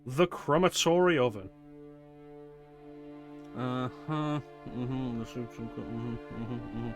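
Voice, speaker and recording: A man's voice: medium pitch, slow. Wry, chatty male game streamer. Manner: wry, chatty, answers chat. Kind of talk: casual chat while playing. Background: game music.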